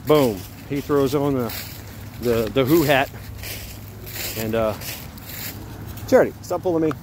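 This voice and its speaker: A middle-aged American man talks in a slow, halting, casual ramble with an average-pitched voice. He is outdoors on a neighborhood walk, speaking into a handheld phone, with clear street and car noise around him. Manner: halting, breaking off to talk to a dog. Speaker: vlogger. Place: outdoors walking along a neighborhood sidewalk. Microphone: handheld phone at arm's length.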